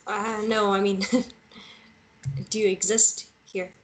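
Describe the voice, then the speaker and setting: A woman's voice: medium pitch, little pitch movement, takes it slowly, close to an everyday voice. An actress playing a bold, teasing, injured young woman in a romance table read, with a naturalistic, playful delivery. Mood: hesitant.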